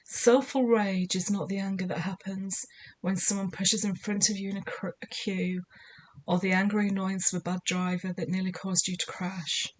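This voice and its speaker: A woman with a slightly croaky voice giving spiritual guidance in a measured, soothing, deliberate way. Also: mid-range voice, little pitch movement, low-quality recording. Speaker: spiritual guide. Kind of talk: scripted spiritual guidance talk.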